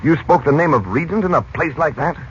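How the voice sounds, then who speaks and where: An actor playing a calm, protective middle-aged uncle in a measured, authoritative 1940s radio serial style. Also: male voice, on the high side, lightly acted. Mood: probing. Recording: dull lo-fi radio recording with noise.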